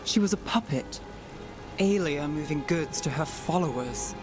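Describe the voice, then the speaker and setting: Wry, measured detective mage over music. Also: female voice, low-pitched, expressive. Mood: intent. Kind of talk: video game dialogue acting. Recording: music behind the voice.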